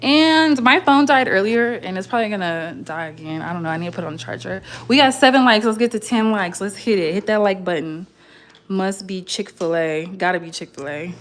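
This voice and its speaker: A young woman hosts a casual livestream from home. Her voice sits a little low for a woman, and she rambles in slangy, chatty talk. There is faint noise around her and she drifts nearer and farther from the mic. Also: moderate pace. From southwestern United States (Arizona and Las Vegas). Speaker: livestream host.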